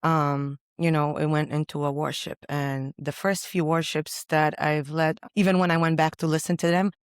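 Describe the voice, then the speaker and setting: Deep-voiced woman, earnest and halting. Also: level tone, clean tone, no echo, clean studio sound. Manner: earnest, halting, many pauses. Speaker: podcast guest. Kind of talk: personal faith testimony in conversation.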